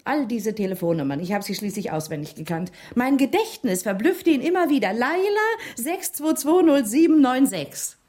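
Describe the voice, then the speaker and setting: A reminiscing female witness in a radio crime drama. Her voice sits fairly high and moves with very animated intonation, expressive and theatrical. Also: moderate pace, few pauses. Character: woman acquaintance of a painter, reminiscing to an inquirer.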